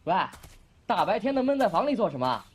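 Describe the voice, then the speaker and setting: An actor playing an excitable, brash young master, the boyish little brother, in an audio drama. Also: mid-range voice, a little fast, a little hiss or hum, close to an everyday voice. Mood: curious, brash. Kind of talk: Chinese audio drama acting. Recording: music under the voice.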